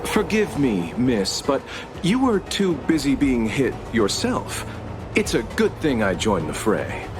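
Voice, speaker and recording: A man's voice: medium pitch, huge pitch swings, slow pace, over music. A man voicing a composed, wry travelling merchant in an anime-style game, polite and formal.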